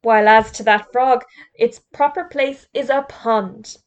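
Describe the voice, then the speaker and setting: A woman's voice: a little high, a little slow, lo-fi sound. Storybook figure: a blustering, prim male headteacher, voiced by a woman. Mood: disapproving. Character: fussy, pompous middle-aged male headteacher.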